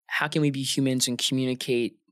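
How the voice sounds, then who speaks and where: A man's voice: mid-range voice, a little fast, no room sound, studio quality. A man working as an air traffic controller talks informally in an interview, telling stories with slang and acting out bits of dialogue. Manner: animated, informal, uses slang and quoted dialogue. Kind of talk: casual conversational storytelling, acting out radio exchanges.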